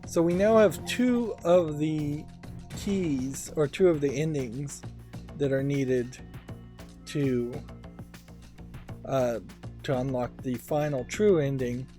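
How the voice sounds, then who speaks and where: A man's voice: on the high side, slow, long pauses, warm tone, faint music behind. A man giving let's play commentary, thinking aloud in a musing, tentative way.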